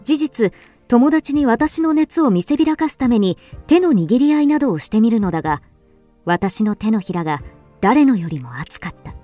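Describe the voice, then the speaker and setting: An actress playing a wistful, introspective first-person storyteller in a literary radio drama. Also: female voice, on the high side, big pitch swings, normal speed, warm tone, faint music behind. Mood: wistful, relieved. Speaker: actor narrating.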